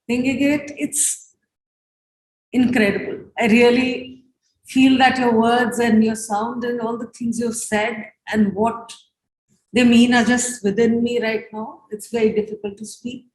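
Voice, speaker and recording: An Indian-accented woman hosts a discussion in an echoey room. Her voice is slightly high and she talks slowly. She speaks unscripted, pausing and restarting as she reflects and gives appreciation. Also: a little hiss or hum. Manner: halting, reflective. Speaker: host of post-performance conversation.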